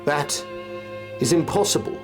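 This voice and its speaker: A man's voice: fairly high pitch, measured pace, over music, natural voice. A man voicing a frightened, desperate young man in an audio story, stammering in panic.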